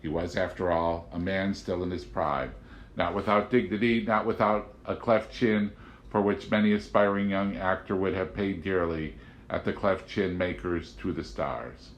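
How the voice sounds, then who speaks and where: A man's voice: low-pitched, steady tone, normal speed. A man narrating a literary novel aloud as an audiobook reader. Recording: roomy, echoing home recording.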